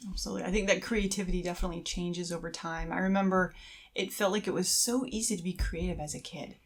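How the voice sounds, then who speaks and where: Low-voiced woman in casual podcast chat. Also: fairly even intonation, fast. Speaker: podcast co-host. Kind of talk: casual conversational talk.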